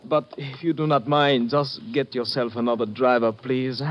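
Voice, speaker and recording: An actor playing a rattled, polite Greek cab driver in an old radio drama, speaking stilted, formal English. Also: male voice, medium pitch, flat delivery, normal speed, lo-fi sound, lightly acted. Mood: shaken, firm. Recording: noisy vintage radio recording.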